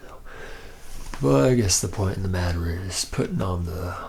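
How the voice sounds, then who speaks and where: Man playing a deep, gravelly, jaded factory slacker. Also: animated, normal speed, darkish tone. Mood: laid-back, sly. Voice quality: gravelly, low, partly whispered. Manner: rambling, hesitant drawl with sardonic asides. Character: jaded, boozy, sleazy factory worker training a recruit. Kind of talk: ASMR roleplay.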